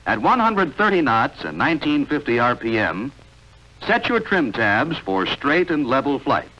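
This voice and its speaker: A man reading scripted 1940s training film narration in a measured, instructional, clearly enunciated manner. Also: medium pitch, big pitch swings, bright, forceful tone. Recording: dull, band-limited vintage film soundtrack.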